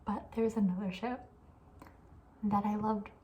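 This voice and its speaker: A young woman speaks softly in a casual ASMR style. Her voice is dark and mellow, breathy and often close to a whisper, at a middling pitch. She is chatty and playfully opinionated, with faint noise behind her. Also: steady tone, unhurried, lo-fi sound. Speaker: ASMR video creator. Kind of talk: soft-spoken casual ASMR chat.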